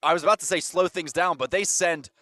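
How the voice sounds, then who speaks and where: A man calling play-by-play for a college soccer match, with a slightly hoarse voice, rattling off the action and getting louder and higher when attacks build. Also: no room sound. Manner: rapid running call that jumps up in pitch and volume on attacks. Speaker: play-by-play commentator. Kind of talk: live soccer play-by-play commentary.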